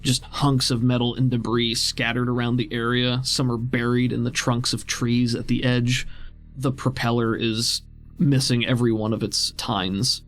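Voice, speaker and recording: A man runs a tabletop roleplaying game as its game master. He describes the scene conversationally in a mid-pitched voice, with music playing behind him. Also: level tone, moderate pace. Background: background music.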